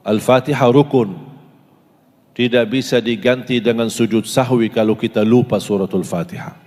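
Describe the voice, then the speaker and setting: A male preacher addresses a live gathering in a middle-pitched voice. He asks the audience questions and recites lists slowly and deliberately. A long reverb trails each phrase, as in a large hall. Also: fairly even intonation, darkish tone. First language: Arabic.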